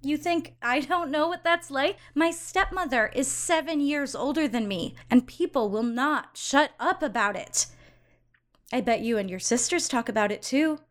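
A woman's voice: high-pitched, expressive, normal speed. A young woman playing a catty, condescending rich socialite in an audio drama, with a snide, gossipy, sarcastic drawl. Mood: catty, needling. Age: young adult. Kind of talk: audio drama acting, conversational.

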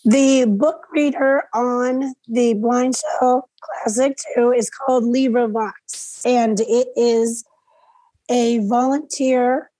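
A hoarse woman teaching a class over a video call, explaining each step aloud and often clearing her throat. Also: a little high, fairly even intonation, slow, dark tone, no echo, rough recording. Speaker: instructor.

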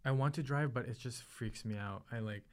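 A man from the Philippines with a light Filipino accent, a relaxed podcast co-host whose phrases often trail off quietly. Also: medium pitch, fairly quick. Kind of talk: casual chat.

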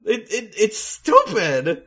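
A woman co-hosting a podcast, talking casually and haltingly about a movie, with some swearing. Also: fairly high pitch, wildly swooping pitch, a bit bright. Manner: halting, profane. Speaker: podcast co-host.

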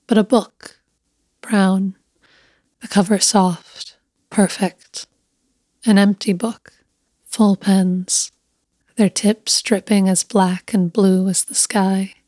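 Even-toned woman narrating a story. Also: medium pitch, slow, long pauses, no room sound. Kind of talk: scripted literary story narration.

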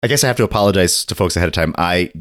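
A man co-hosting a podcast in a casual chat, slightly gravelly from a head cold, animated and jokey. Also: low-pitched, very fast. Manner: animated, jokey, drifts into bits and riffs. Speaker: podcast co-host.

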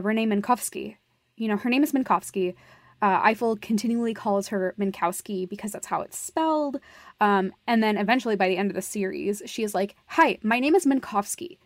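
A woman's voice: mid-range voice, no room sound, clean studio sound. A woman co-hosting a podcast, talking in a casual, analytical way. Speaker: podcast co-host.

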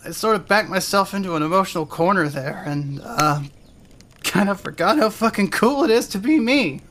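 A woman playing a drunk, self-deprecating, emotionally frayed young woman in a fan audio drama, halting and stammering as she goes. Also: mid-range voice, lively intonation, slight background noise, close to an everyday voice. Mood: sheepish, frayed.

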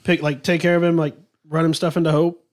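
Rambling man, slightly high voice. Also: little pitch movement, fast talker. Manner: rambling, hesitant, restarts sentences often. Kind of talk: casual podcast chat.

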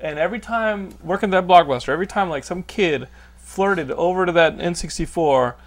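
A man in his thirties hosts a podcast in a somewhat high voice. He talks in excited bursts, laughing and swearing as he jokes with his co-hosts. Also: fairly quick. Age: 30s. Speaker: podcast host. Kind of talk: casual chat.

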